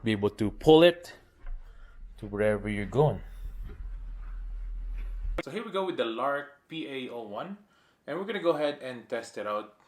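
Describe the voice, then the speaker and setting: A man's voice: medium pitch, very animated, moderate pace, long pauses, darkish tone. A man narrating a casual hands-on product demo, pointing things out piece by piece in short phrases. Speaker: product reviewer.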